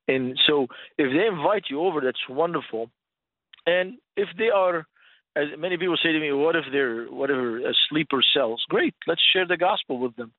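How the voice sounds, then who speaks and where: A man's voice: fairly high pitch, normal speed, no room sound. A man who speaks English as a second language, teaching conversationally as a radio guest and posing rhetorical questions. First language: not English. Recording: narrow, tinny phone-like line.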